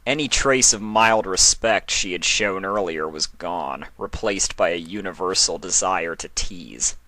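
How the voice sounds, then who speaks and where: A man's voice: low-pitched, measured pace, sharp tone, dry recording. A man reading scripted story narration as the narrator of a tale.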